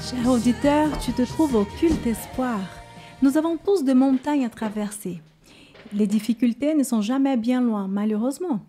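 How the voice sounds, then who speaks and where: A woman's voice: a little high, normal speed, warm tone, faint music behind. A woman hosting an interview programme, introducing it and talking with her guest in an informal, attentive way. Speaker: host. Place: studio set.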